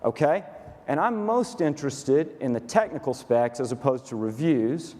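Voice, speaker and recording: A man with a Texas accent, a company COO walking through a software demo onstage in a dry, matter-of-fact, methodical way. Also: medium pitch, animated, moderate pace. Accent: Texan. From Texas, USA. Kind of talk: staged product demo presentation. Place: conference stage in a large hall. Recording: reverberant conference hall.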